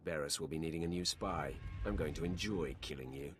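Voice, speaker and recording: An actor playing a cold, confident Sith warrior in a video game, terse and menacing with a gravelly voice. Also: male voice, very deep voice, a little fast, soft background music. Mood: cool menace.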